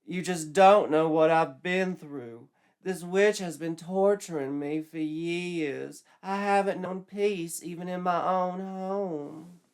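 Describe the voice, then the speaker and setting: A man's voice: high-pitched, slow pace, clear, resonant tone, pitched above the performer's own voice. A man voicing a dramatic seventeen-year-old girl, weary and coy, in a comic story. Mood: plaintive.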